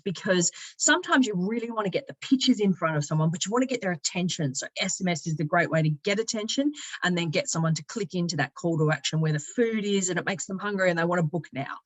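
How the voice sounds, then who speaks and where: A woman presenting a casual, chatty software tutorial in an upbeat, informal manner, walking through it step by step. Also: on the low side. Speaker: presenter giving a software demonstration.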